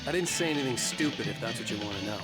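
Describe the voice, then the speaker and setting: A man portrays a grumpy, tired writer in a video game. He is curt and brooding, in a medium-pitched voice. Music plays loudly beneath him. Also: expressive, very fast, close to an everyday voice, low-quality recording. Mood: irritable. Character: grumpy, weary, hungover male writer.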